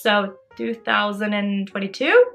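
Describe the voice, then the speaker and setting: A woman's voice: mid-range voice, unhurried, gravelly, a bit bright, soft background music. A woman, chatting casually and expressively in a bubbly way as she answers questions for a vlog. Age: early 20s. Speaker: social media creator answering follower questions.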